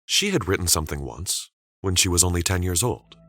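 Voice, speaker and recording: A man narrating a scripted dramatic story deliberately, with suspenseful pauses. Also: low-pitched, expressive, a bit bright, dry recording.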